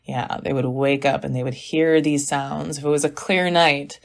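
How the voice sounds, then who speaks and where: A woman's voice: deep voice, steady tone, normal speed, vocal fry. A woman playing a skeptical, dryly exasperated podcaster, telling tales in casual, matter-of-fact banter. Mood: dry, grisly relish. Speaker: podcast co-host. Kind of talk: casual scripted storytelling banter.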